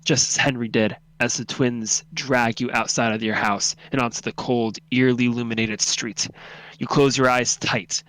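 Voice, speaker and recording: A man's voice: medium pitch, fairly bright tone, no room sound, poor audio. A man narrating a second-person horror story in a dramatic, solemn, intense reading.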